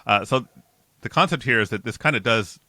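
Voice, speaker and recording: A chatty man in his forties hosts a relaxed interview, his voice bright and forward on a close studio mic. The sound is dry, with no room around it. He talks upbeat, often restarting his sentences. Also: mid-range voice, brisk, no room sound. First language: not Dutch. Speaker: host. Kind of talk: casual interview and code review. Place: home office with bookshelves, indoors. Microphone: large studio mic and headphones, close.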